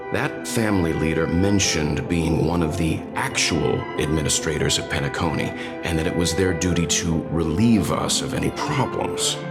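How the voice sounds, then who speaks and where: Deep-voiced male space traveler, reserved, perceptive, dry, deliberate, game dialogue, loud music behind. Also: vocal fry, heavily acted. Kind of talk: English video game voice acting.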